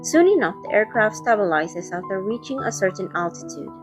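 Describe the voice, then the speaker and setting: A woman's voice: medium pitch, sing-song, a little fast, over music. A woman reading scripted first-person narration for a romantic audio drama, also voicing its heroine.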